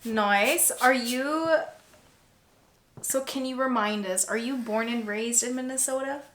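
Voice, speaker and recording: A woman's voice: on the high side, a little slow, a bit bright. A young woman hosting a podcast, asking questions and chipping in casually. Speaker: podcast host interviewing her partner. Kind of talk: casual interview chat. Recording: echoey room, faint background noise.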